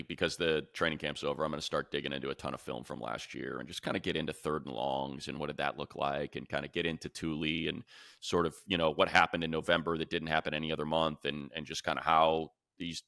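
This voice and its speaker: A deep-voiced American man gives opinionated sports commentary. His voice is bright and forceful, recorded dry and close. He talks casually but emphatically, hammering a point by repeating it. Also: steady tone, fast, creaky, dry recording. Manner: emphatic, repeats phrases for effect. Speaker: sports podcast co-host and commentator.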